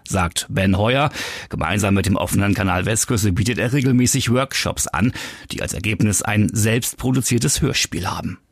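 A man's voice: fairly low pitch, breathy edge, dry recording, studio quality. A man, narrating a scripted magazine podcast as its host and putting interview questions.

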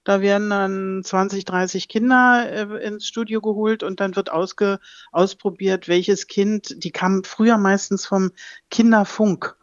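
A woman's voice: mid-range voice, steady tone, measured pace, dry recording, dull audio. An older woman from Berlin, an actress and voice actress, talking frankly and emphatically as an interview guest. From Berlin, Germany. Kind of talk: interview guest, casual chat.

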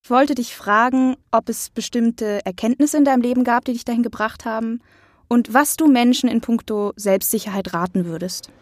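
A woman's voice: a little high, normal speed, dry recording, clean studio sound. A woman hosting an interview podcast, speaking in a polite, articulate and friendly presenting style.

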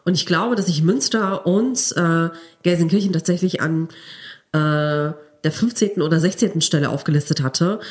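A woman's voice: fairly low pitch, normal speed. A young woman from Cologne, a teacher, chatting as a podcast guest and telling stories with laughs. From Cologne, Germany. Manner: storytelling, laughs while telling. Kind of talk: casual podcast chat.

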